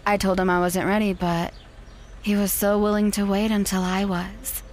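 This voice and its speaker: A woman's voice: mid-range voice, level tone, normal speed, a little mellow, a little hiss or hum, lightly acted. A young woman playing a possessive, manipulative fiancée in an audio drama, speaking in a haughty, dismissive way. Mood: possessive, smug. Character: possessive, condescending con-artist fiancée, smug and manipulative. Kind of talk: naturalistic audio drama acting.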